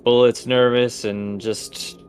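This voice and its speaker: A man narrating a tabletop game as game master, halting as he thinks aloud. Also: medium pitch, huge pitch swings, faint music behind.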